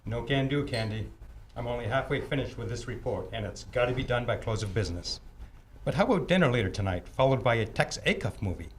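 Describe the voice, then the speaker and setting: A man playing a gruff, sarcastic, teasing homicide detective in an old-time radio drama. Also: mid-range voice, animated, fast talker, natural voice. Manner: blustery, sarcastic banter. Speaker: amateur radio club performer. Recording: roomy echo with faint ambient noise.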